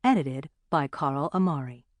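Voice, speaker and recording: A woman delivers scripted announcements at an unhurried, slow pace. Her voice is a little low, and the sound is very dry and close. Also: a little mellow, dry recording. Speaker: audiobook credits announcer. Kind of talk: scripted credits narration.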